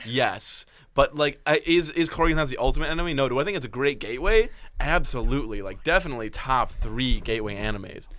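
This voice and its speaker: A man's voice: mid-range voice, animated, fast talker, few pauses, a bit bright. A man co-hosting a comedic radio show, arguing absurd points with mock seriousness. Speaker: radio co-host. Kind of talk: comedic banter.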